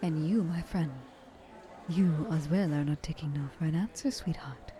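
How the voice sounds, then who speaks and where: An elegant vampire woman of a thousand years, acted by a woman for an ASMR roleplay. Her voice sits on the low side and is very mellow, often sinking to a breathy whisper. She flirts in formal, genteel phrases with sweet condescension, over faint background noise. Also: normal speed, close to an everyday voice. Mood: seductive, challenging. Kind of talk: ASMR roleplay, intimate monologue to listener.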